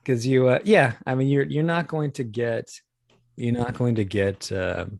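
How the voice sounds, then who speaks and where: A man talking casually as an interview guest about his creative work, in a halting, thoughtful way. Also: medium pitch, steady tone, creaky, darkish tone, no room sound, studio quality. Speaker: audio drama creator and guest.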